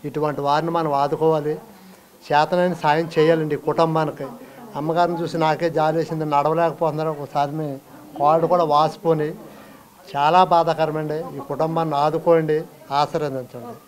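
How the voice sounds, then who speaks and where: A man's voice: fairly high pitch, level tone, moderate pace, rough recording. A man making an earnest, pleading public appeal. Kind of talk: heartfelt public appeal to camera.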